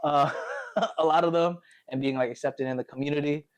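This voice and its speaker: A man's voice: fairly high pitch, steady tone, a little fast. An American man chatting casually and telling stories in a slangy, informal way, often with a chuckle. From Connecticut, United States, later Indonesia. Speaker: podcast host telling his life story. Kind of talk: casual conversational storytelling.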